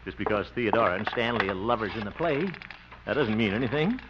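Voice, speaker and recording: An actor in an old radio comedy playing an insecure, exasperated young amateur play director with an American accent. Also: male voice, lowish voice, animated, quick pace, natural voice. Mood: dejected. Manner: self-pitying asides, exasperated outbursts. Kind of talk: 1940s-50s radio comedy acting. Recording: dull, noisy old-time radio recording.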